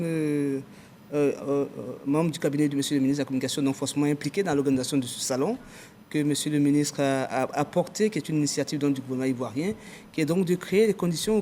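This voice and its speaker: A man's voice: fairly high pitch, darkish tone, slight background noise. A man, an adviser in a ministry, talking as a guest on a TV talk show in long, formal, official-sounding sentences.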